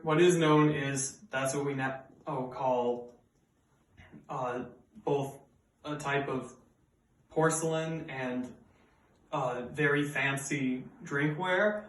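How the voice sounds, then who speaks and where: A man's voice: on the high side, fairly even intonation, takes it slowly, pauses often. Man, bilingual English and Persian speaker, earnest explainer, occasional fillers, echoey room, distant mic. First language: bilingual, raised speaking English and Persian. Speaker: student presenter. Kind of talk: scripted educational video narration. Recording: roomy echo, mic at a distance.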